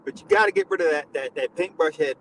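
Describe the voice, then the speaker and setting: A man's voice: a little high, huge pitch swings, fast talker, creaky, a bit bright, slight background noise, poor audio. A man hosting a live stream, teasing his guest in crude, casual banter. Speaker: stream host.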